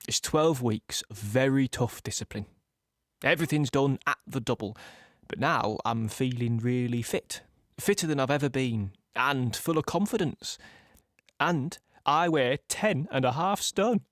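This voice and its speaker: An actor playing a young British tank gunner in an audio play monologue, plain-spoken and reflective, in short halting phrases. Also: male voice, mid-range voice, dry recording, studio quality, lightly acted. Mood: proud, cheerful.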